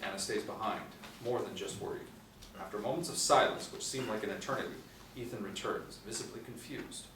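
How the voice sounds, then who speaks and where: A man's voice: fairly low pitch, brisk, fairly bright tone. A man in his forties giving scripted narration, reading out screen directions. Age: 40s. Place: small indoor room, seated group. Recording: roomy, distant mic with faint noise.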